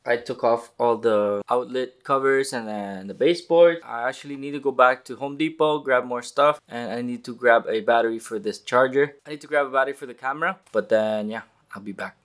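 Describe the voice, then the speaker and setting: A man's voice: medium pitch, brisk, few pauses, fairly bright tone. A young man in his twenties giving a casual, laid-back vlog tour of an empty basement suite, filmed on a handheld camera at arm's length. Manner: laid-back, chatty, talks to the viewers. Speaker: vlogger.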